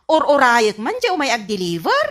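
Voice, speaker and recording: A woman's voice: a little high, huge pitch swings, normal speed, fairly bright tone. A woman playing a scheming, boastful, whiny wife in an Ilocano radio comedy drama, with an Ilocano accent and a theatrical delivery. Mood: boastful, sassy. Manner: theatrical, whiny, stammers evasively when cornered. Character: scheming, boastful wife who spends borrowed money on appliances.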